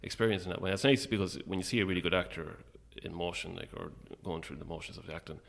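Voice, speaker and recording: Low, gravelly-voiced Irish man. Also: fast talker, fairly bright tone. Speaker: guest, playwright. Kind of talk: casual interview conversation.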